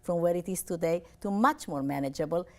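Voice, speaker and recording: A woman's voice: on the low side, fairly quick, darkish tone. A woman from Romania, a life coach giving an earnest, upbeat television interview and often repeating her phrases.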